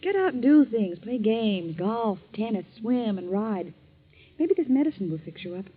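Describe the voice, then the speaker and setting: An actress playing a confident, blunt horsewoman in a 1940s radio drama, brisk in manner. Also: fairly high pitch, sing-song. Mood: brisk, scornful. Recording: dull old 1940s radio recording.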